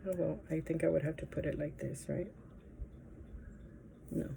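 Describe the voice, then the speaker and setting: A woman's voice: deep voice, fairly even intonation, moderate pace, gravelly, mellow, slight background noise. Stuffy-nosed woman chatting to camera. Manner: rambling, hesitant, many fillers. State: stuffy nose from allergies. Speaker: vlogger. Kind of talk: casual chat to camera.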